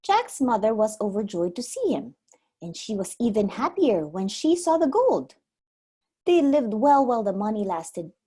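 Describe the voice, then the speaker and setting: A woman reading a storybook aloud like a preschool teacher, expressive and simply worded for small children. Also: mid-range voice, breathy edge.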